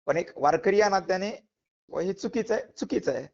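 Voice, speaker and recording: Fast-talking Marathwada man, muffled phone recording. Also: high voice, lively intonation, creaky, darkish tone. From Latur, Maharashtra, India. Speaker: interviewee, kirtan performer. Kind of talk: phone interview, giving his side of a dispute. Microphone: phone call.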